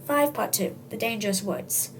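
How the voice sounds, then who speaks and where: A high, youthful voice reading a comic story aloud in a dramatic, tongue-in-cheek way. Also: normal speed. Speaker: storybook reader. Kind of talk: comic read-aloud narration in second person. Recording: distant mic, faint background noise.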